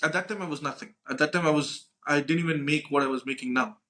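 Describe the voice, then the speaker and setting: Fast-talking young man telling stories. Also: fairly high pitch, sharp tone. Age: young adult. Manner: informal, slangy, acts out dialogue, frequent "right?" tags. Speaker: podcast co-host. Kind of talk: casual anecdotal storytelling with profanity.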